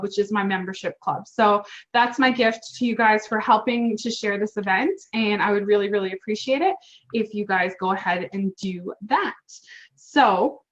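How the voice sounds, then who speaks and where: A middle-aged woman hosting an online interview in a chatty, enthusiastic, casual way. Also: medium pitch. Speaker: host interviewing a guest. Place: remote online call. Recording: dull, lo-fi online call audio.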